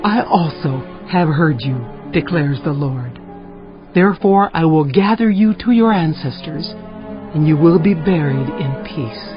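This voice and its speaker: Female prophet, dulled audio, music underneath, solemn, stern, measured declamation, scripture drama. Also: sits low, expressive, muffled recording.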